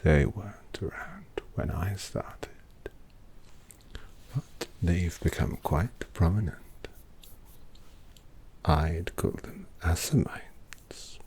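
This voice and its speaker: A man voicing a sardonic old male vampire painter who drawls intimately in a gravelly whisper, as an ASMR roleplay. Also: sits low, big pitch swings, extremely slow, pauses often, darkish tone, exaggerated voice. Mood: snide whisper.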